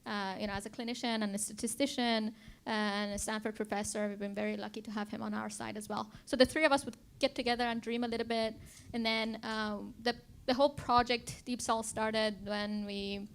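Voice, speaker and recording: A middle-aged woman from Iran speaks in a fairly high voice. She tells her story as a founder being interviewed, in a conversational way. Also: flat delivery, a little fast. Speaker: interview guest, biotech company founder and CEO.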